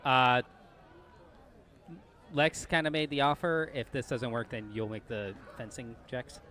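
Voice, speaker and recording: A man working as game master, improvising narration and rules for a tabletop game while thinking aloud in a halting way. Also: medium pitch, pushed and bright.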